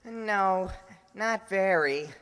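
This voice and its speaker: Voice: mid-range voice, very slow, a bit bright, clear, resonant tone. A performer playing a grumbling, scornful young boy in old-time radio comedy style. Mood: disgusted. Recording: long hall reverb.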